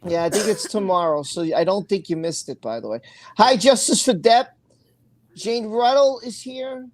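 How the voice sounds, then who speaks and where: Chatty male live-stream host, greets viewers warmly by name, dry close recording. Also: high voice, moderate pace, no echo. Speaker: livestream host. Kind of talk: casual live-stream chat.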